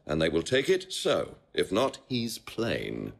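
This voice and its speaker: An actor playing a stern, imperious and suspicious nobleman with a husky voice in a Shakespearean audio drama. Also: sits low, wildly swooping pitch, takes it slowly, full character voice. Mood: scornful.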